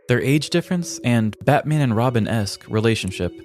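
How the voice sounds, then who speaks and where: A man hosting a podcast, telling a comic story in a wry, deadpan scripted narration. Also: medium pitch, brisk.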